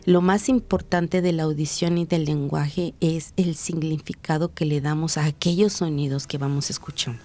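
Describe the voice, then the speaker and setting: A woman physician with a Mexican Spanish accent, explaining patiently and didactically in an interview, slowing down to stress key points. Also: deep voice, dark tone. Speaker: physician, hearing and speech specialist.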